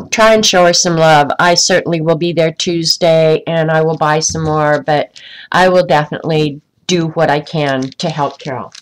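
A woman's voice: lowish voice, low-quality recording. A woman with a stuffy, congested voice chatting casually to viewers on a live craft stream, rambling with many ums. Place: indoors at a craft desk.

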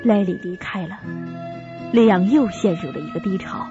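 A woman's voice: medium pitch, normal speed. A woman giving dramatic scripted narration for a radio drama. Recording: muffled audio, music and crowd behind.

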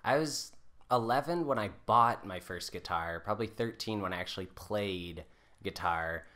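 A man's voice: on the low side, moderate pace, bright, forceful tone. A man talking as a podcast guest and musician, telling anecdotes in a casual, self-deprecating way and acting out bits of dialogue. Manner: self-deprecating, often quotes imagined dialogue.

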